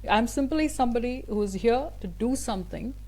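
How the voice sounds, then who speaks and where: A woman's voice: on the high side, animated, a little fast, a little mellow. A woman whose first language is Tamil, a guest speaker answering an interview at length in an earnest, thoughtful way, with frequent fillers and restarts.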